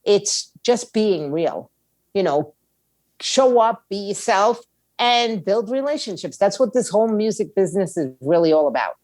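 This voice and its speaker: Middle-aged female podcast guest, mid-range pitch. Straight-talking, emphatic, street-smart advice, very dry sound. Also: expressive, unhurried, a little mellow, dry recording. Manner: blunt, streetwise, emphatic with rhetorical questions. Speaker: podcast guest, music attorney and indie label owner. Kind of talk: conversational advice in an interview.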